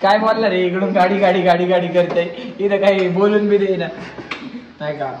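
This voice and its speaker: A man's voice: high-pitched, fairly even intonation, moderate pace. A young man with a Maharashtrian accent chatting casually and playfully with friends as a slangy prank vlogger. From Maharashtra, India. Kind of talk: casual vlog chat with friends. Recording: muffled audio with clear background noise.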